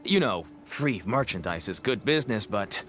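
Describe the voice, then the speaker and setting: تاجراً مراوغاً بنبرة متقلبة. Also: male voice, on the low side, normal speed. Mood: sly. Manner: wheedling, persuasive, lively. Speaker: father. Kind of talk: video game voice acting.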